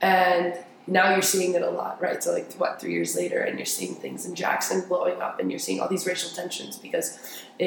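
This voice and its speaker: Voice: mid-range voice, vocal fry. A young adult from Paris telling stories casually as an interview guest, explaining things informally and often checking for agreement. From grew up in Paris, France. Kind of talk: casual conversational storytelling. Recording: very echoey room with faint noise.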